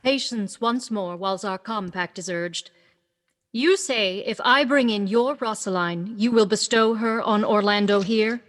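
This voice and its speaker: A woman playing a self-assured young woman disguised as a youth in a Shakespearean radio play, brisk and declamatory. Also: mid-range voice, close to an everyday voice. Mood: commanding. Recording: long echoing reverb.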